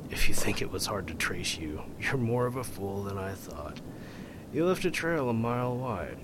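An actor playing a smug, menacing male blackmailer in a radio drama, with a taunting, deliberate manner. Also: lowish voice, sing-song, noisy background. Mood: menacing, mocking.

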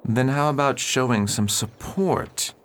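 An actor playing an upright, earnest preacher who pleads and reasons with others in a radio drama. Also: male voice, medium pitch, a little slow, natural voice. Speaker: reverend at a mission.

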